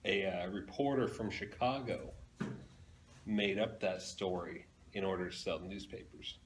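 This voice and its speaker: A man's voice: deep voice, unhurried. A man in casual conversation as a comic book fan, with some room noise around him. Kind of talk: casual chat. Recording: noisy room ambience.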